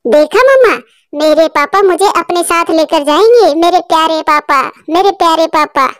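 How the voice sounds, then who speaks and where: Woman as a cartoon little girl bird, very high voice, childlike, pleading, loving, dry sound. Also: normal speed, fairly bright tone, no echo. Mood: adoring.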